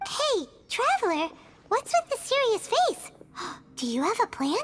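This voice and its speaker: A woman voicing a tiny, excitable childlike fairy companion in a video game, squeaky and exclamatory. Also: a little slow, full character voice. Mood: excited. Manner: whiny, exclamatory, refers to herself by name. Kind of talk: video game character acting.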